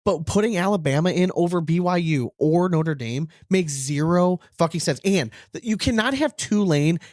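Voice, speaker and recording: A man with a slightly high voice, recorded close and dry, talks sports casually as a co-host. He is opinionated, rants readily and swears freely. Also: animated, moderate pace, darkish tone, no room sound. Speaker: podcast co-host, former team coach.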